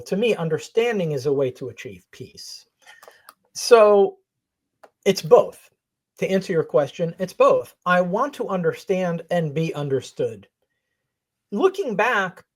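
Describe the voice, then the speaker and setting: A woman coach who grew up in Israel, talking as a guest on a podcast in an earnest, thoughtful way and laughing often. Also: on the low side. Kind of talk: reflective interview talk.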